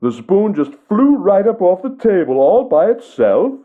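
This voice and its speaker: A man's voice: high-pitched, sing-song, unhurried, phone-quality sound, exaggerated voice. An actor playing a theatrical male psychic who coaxes and performs, heard as if through a wall or a phone. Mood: cooing. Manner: theatrical, showy, coaxing. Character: theatrical male psychic fussing at his cat. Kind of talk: video game voice acting.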